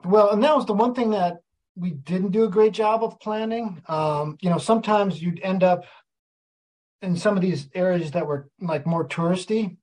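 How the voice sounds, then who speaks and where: A man co-hosting a podcast and talking about his trip in a loose, easygoing way. His speech is heavy on "like" and "you know," and his voice sits a little high. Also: normal speed, a little mellow, poor audio. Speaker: podcast co-host. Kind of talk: casual chat.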